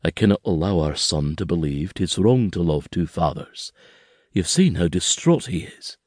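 A tender father, as a male reader puts him on, gentle and reassuring, his low voice rising and falling in lively swings. The sound is close and dry, with no room around it. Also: normal speed, dry recording. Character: Highland father, tender and steady.

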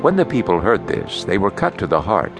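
A man's voice: low-pitched, huge pitch swings, a little fast. A man reading scripted Bible narration for a dramatized audiobook. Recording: loud music under the voice. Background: loud dramatic music.

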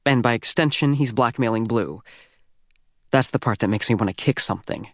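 An American man reads a first-person teen novel at a middle pitch. His delivery is youthful, wry and conversational, with a very dry, close studio sound. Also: brisk, dry recording. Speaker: audiobook narrator.

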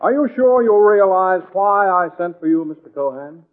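A genial President speaks in a high, patrician voice. He draws out his words with stately measure. The recording is a muffled 1940s radio drama. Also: expressive, moderate pace. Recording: muffled old radio broadcast.

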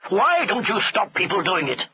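A man's voice: high-pitched, very animated, measured pace, slightly rough, muffled recording, voice pushed up, heavily acted. A man voicing a bad-tempered, blustering male elephant master in a storybook, scolding and roaring with exasperation. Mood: exasperated. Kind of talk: storybook character voice.

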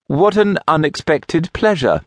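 A man's voice: high-pitched, expressive, unhurried, no echo, voice pushed up. A man voicing a reserved, solitary man who stays stiffly polite, in a storybook reading. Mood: dismayed.

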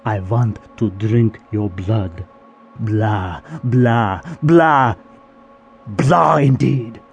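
A man's voice: fairly low pitch, very slow, vocal fry, muffled recording, soft background music, full character voice. A man playing a pompous, indignant veteran soap opera actor in an audio drama, with a theatrical, grandiloquent manner. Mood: scornful, self-pitying.

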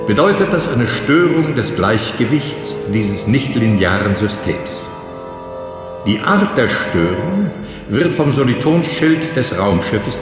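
An actor playing a methodical professor, formally explaining in the didactic style of a sci-fi audio drama lecture. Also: male voice, medium pitch. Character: male professor lecturing trainee pilots, instructive and methodical. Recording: echoey lecture hall, music underneath.

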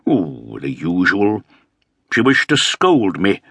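A man's voice: sits low, wildly swooping pitch, slow, gravelly, a bit bright, breathy edge, no echo, studio quality, heavily acted. A man voicing a put-upon, aging Elizabethan earl who speaks in a plaintive, complaining way. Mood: aggrieved.

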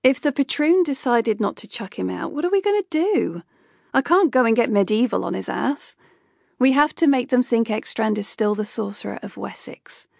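A flippant, sweary old sorcerer, read by a woman, tossing off blunt remarks with a dry, gravelly edge. He sounds unbothered and crude, never too serious. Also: a little high, animated, normal speed, dry recording. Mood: offhand.

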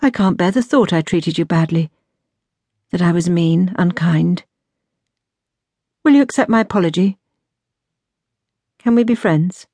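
A woman voicing a softened, sincere eldest sister in an audiobook, gentle and apologetic in manner. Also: fairly low pitch, unhurried, pauses often, dry recording. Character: softened, remorseful eldest sister, kinder after grief. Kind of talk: audiobook character dialogue.

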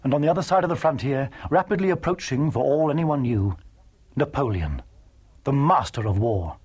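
A man giving scripted, dramatic historical narration in a measured, emphatic manner with a husky voice. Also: mid-range voice, animated, a little mellow.

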